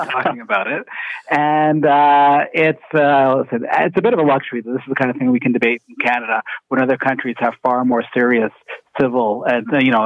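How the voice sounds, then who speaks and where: A man's voice: medium pitch, no room sound. Conversational man, slightly muffled dry recording. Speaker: panel guest. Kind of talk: casual political discussion. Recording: muffled, dull radio line.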